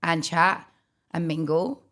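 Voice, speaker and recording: A young Australian woman co-hosting a casual podcast, with a croaky voice and lively storytelling. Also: sits low, slow pace. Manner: chatty storytelling, animated quoting. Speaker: podcast co-host. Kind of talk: casual chat.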